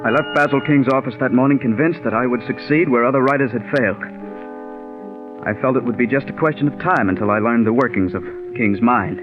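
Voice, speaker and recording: An actor playing a bitter, stubborn, overworked scriptwriter in 1940s radio drama style, intense and brooding. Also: male voice, medium pitch, a little fast, loud music behind the voice, close to an everyday voice. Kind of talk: 1940s radio acting with first-person narration. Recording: dull 1940s radio recording.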